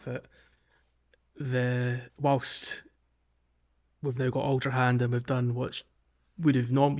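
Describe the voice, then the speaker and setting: Dull-sounding recording of a man chatting. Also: mid-range voice. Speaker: podcast co-host. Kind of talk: casual game discussion. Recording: dull, band-limited voice recording.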